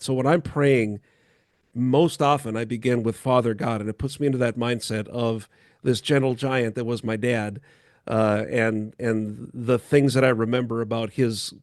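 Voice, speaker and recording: A man chats casually as a show co-host, with playful banter and an easygoing joking manner. His voice sits at an average pitch, and the sound is close and dry, with hardly any room on it. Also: a little mellow, no echo. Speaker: podcast co-host. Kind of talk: casual chat.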